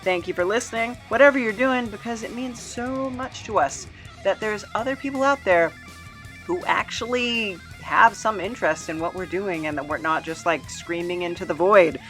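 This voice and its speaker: A woman's voice: medium pitch, normal speed, a bit bright, soft background music. A woman speaking casually and conversationally as the host of a podcast.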